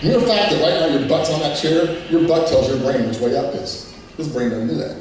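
A man's voice: on the high side, a little fast, a little hiss or hum. A man telling his personal testimony as a father, plainspoken and earnest, with moments of strong emotion. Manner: plainspoken, earnest, emotional, quotes others in lively voices. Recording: echoey and distant with music underneath. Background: soft music.

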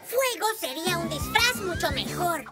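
A woman's voice: extremely high pitch, animated, normal speed. A woman voicing a small, eager, cheeky childlike creature in a children's cartoon dub. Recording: loud music behind the voice. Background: children's cartoon music.

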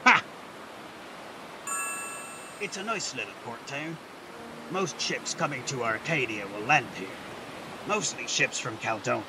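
An actor playing a genial, folksy ship captain in a fantasy game dub. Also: male voice, mid-range voice, animated, measured pace, pauses often, recorded from a distance, background noise. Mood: amiable, boastful. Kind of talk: video game character dub.

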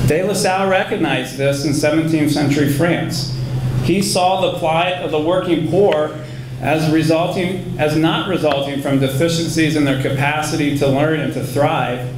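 A man in his forties speaks at a podium in a school hall, with a slightly raised pitch, earnest and self-deprecating in tone. The handheld mic picks up plenty of room echo, and steady background noise sits under his voice. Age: 40s. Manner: earnest, self-deprecating, chuckles now and then. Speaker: alumni award recipient, education researcher. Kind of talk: award acceptance speech. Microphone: handheld microphone.

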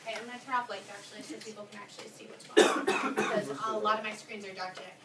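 A young woman teaching a history class, moving quickly through questions and prompting her students. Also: medium pitch, steady tone. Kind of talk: classroom lesson with discussion. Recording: echoey classroom, distant mic, noisy.

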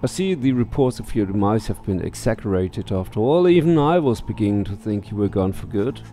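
A man's voice: lowish voice, expressive, a little fast, mellow. A man, sounding middle-aged, giving casual gameplay commentary and reading game text aloud, muttering to himself and swearing casually into a headset mic. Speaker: let's play streamer. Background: faint game music.

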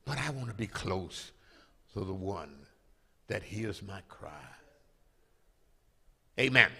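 Slow, emphatic preaching older man. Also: lowish voice, animated, breathy edge. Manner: preaching with call-and-response, rising to loud emphatic proclamation; drawls key phrases slowly for emphasis. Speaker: pastor. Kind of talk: sermon. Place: large church sanctuary, at a pulpit. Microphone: handheld microphone. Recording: long reverb of a large hall.

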